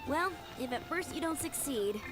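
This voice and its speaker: Eager, high-voiced young knight trainee. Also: female voice, expressive, moderate pace. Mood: frustrated, determined. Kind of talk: video game voice acting. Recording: loud game music behind.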